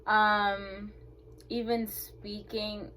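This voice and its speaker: A woman's voice: mid-range voice, level tone, very slow, sharp tone, a little hiss or hum, poor audio. A young woman from Kenya whose first language is Swahili, answering interview questions in a hesitant, casual way with many fillers. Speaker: interview guest.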